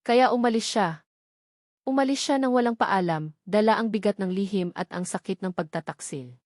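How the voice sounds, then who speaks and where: A woman's voice: mid-range voice, moderate pace, a little mellow, no echo, studio quality. A woman reading scripted dramatic narration of a romance story in an emotive, theatrical storytelling manner.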